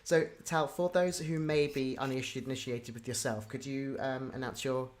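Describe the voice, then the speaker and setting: A man's voice: medium pitch, steady tone, moderate pace. A man hosting a casual interview, talking in an informal, rambling and enthusiastic way. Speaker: interview host.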